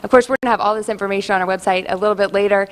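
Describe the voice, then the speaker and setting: A woman working as a TV news field reporter, interviewing a guest live at a festival in a friendly, brisk manner. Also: medium pitch, steady tone. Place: outdoor festival venue.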